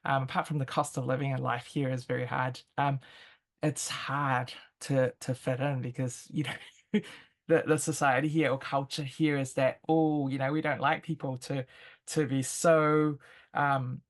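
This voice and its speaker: A woman with a New Zealand accent talks in a conversational interview. She is reflective and picks through her thoughts in halting phrases, explaining as she goes. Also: a bass voice, level tone. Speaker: interview guest, intercultural expert.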